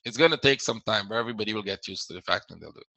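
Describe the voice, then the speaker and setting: Caribbean-accented male webinar host, bright voice, dry close mic, casual Q&A. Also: lowish voice, very fast, vocal fry, dry recording, poor audio. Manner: explains casually, repeats words, reads out viewer questions. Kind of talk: live webinar Q&A.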